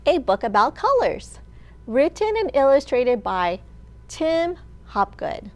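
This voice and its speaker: Woman, children's storytime host. High, very slow, lively sing-song read-aloud with pauses for little listeners. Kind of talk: expressive read-aloud of a picture book to young children.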